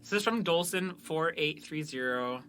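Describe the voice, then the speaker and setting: A man's voice: fairly high pitch, animated, moderate pace, pushed and bright, faint music behind. A man hosting a podcast in casual banter, chatting and reading out listener reviews. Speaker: podcast host and creator of an amateur audio drama.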